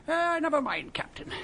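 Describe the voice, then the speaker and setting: An actor playing an irascible, imperious gentleman who splutters and repeats his words in a radio drama. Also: extremely high pitch, gravelly, exaggerated voice. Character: blustering, irascible male owner of stolen valuables.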